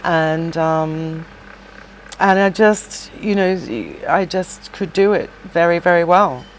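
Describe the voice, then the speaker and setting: Middle-aged woman, low-pitched, chatty guest, loose conversational delivery, faint noise under the voice. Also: fairly even intonation, slow pace, rough recording. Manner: chatty and warm, many fillers and restarts. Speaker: podcast guest, shop owner and former singer. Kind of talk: casual interview chat.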